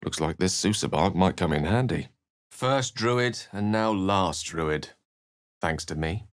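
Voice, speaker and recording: A man's voice: deep voice, expressive, a little slow, no room sound, clean studio sound. A man playing a refined, eloquent wizard in a video game, courteous and wry, with articulate, formal and theatrical speech. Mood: measured. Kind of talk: video game voice lines and narration.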